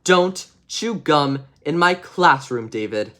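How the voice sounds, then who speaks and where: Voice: mid-range voice, lively intonation, takes it slowly, fairly bright tone. Stern storybook teacher, always exasperated, scolding a misbehaving pupil, read aloud by a storyteller. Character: strict scolding schoolteacher.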